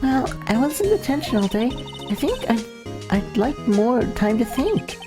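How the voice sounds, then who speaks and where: A woman's voice: fairly high pitch, sing-song, normal speed. A woman voicing a playful, upbeat teenage girl assistant in a voiced visual novel playthrough. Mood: breezy. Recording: faint game music behind. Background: video game music.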